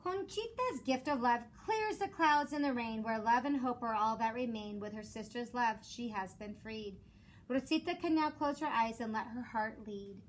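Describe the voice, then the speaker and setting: A woman who teaches elementary school reads aloud expressively for children in a measured storytelling way. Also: on the high side, rough recording. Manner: measured storytelling with rhymed cadence. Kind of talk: expressive children's picture book read-aloud. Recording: clear background noise.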